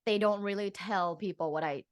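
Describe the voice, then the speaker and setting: A woman's voice: medium pitch, moderate pace, clear, resonant tone, dry recording, studio quality. A woman from Thailand speaking as an expert guest in a casual interview, informal and peppering her speech with "like". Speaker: guest, professor and sexologist.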